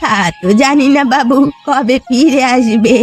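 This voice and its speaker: A woman's voice: sits high, fairly even intonation, measured pace, faint music behind. A woman voicing a poor, sorrowful old fisherwoman in a cartoon folk tale, plaintive and resigned.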